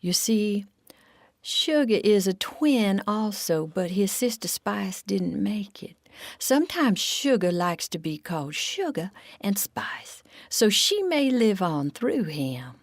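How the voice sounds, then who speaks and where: A woman's voice: mid-range voice, sing-song, slow, dry recording, clean studio sound, pitched above the performer's own voice, exaggerated voice. A woman voicing eager, kindly lady ladybugs in a storybook, chattily explaining things.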